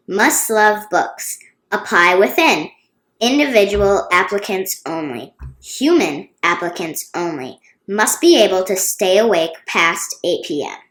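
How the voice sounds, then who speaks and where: A woman's voice: mid-range voice, a bit bright. A woman voicing a bossy little storybook girl, announcing emphatically with stretched-out words. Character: bossy little girl posting job notices. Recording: roomy, echoey sound.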